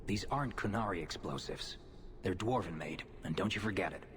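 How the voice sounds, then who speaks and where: A man playing a gruff, proud dwarf in a video game, with a hearty, blunt manner. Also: low-pitched, expressive, brisk, fairly bright tone. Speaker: dwarf explosives expert in a fantasy game. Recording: noisy, echoing cavern ambience.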